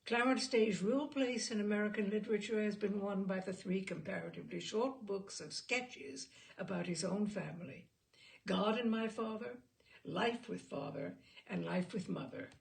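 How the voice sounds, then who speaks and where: A woman reading literary stories aloud as a storyteller, with expressive, theatrical phrasing. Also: medium pitch, moderate pace, vocal fry, poor audio. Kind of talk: literary storytelling with informal introduction.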